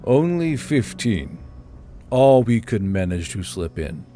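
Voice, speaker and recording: A man voicing a guarded Czech army sergeant in an audiobook, with a measured, murmuring, terse delivery. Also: lowish voice, very animated, warm tone, faint music behind.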